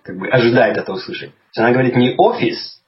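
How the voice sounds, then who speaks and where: A man talking in a relaxed conversation, thoughtful and hesitant, with many restarts as he explains things. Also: mid-range voice, fast talker. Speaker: guest. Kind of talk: casual conversation. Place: lives in England. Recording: slightly dull lo-fi audio with faint noise.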